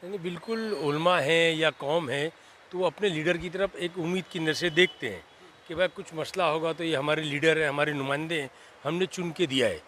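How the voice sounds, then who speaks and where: High, bright-voiced man. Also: a little fast, slight background noise. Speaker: community leader, social worker. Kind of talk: explanatory interview answer.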